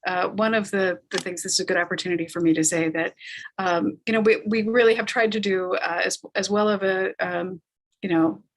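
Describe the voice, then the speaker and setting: A woman's voice: medium pitch, fairly even intonation, a little fast. A woman informally moderating a live talkback, speaking in hesitant, searching phrases. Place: remote video call.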